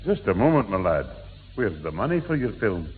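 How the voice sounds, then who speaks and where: Excitable, teasing old grandad. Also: male voice, lowish voice, fairly quick, husky, exaggerated voice. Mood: firm. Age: older. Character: mischievous, excitable old grandad who loves a joke. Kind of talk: 1960s British radio sitcom acting. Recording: tinny, noisy old radio recording.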